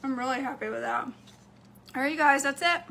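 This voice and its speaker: Woman, forties, upbeat kitchen vlog, fairly high voice, faint room hiss. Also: a little fast, vocal fry, sharp tone. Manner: chatty, upbeat, talks straight to camera. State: slightly hoarse. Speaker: cooking vlogger. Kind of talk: casual chat to viewers. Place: home kitchen, indoors. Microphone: phone camera close up on a counter.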